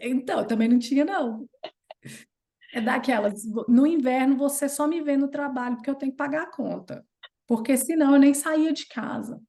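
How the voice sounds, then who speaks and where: A Brazilian woman in her thirties, speaking with a Brazilian Portuguese accent and a voice pitched a little higher than most. She chats as an interview guest, telling her stories with lively, playful leaps in pitch. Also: fairly quick. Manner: chatty and animated, playful jumps in pitch when retelling. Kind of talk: casual storytelling chat.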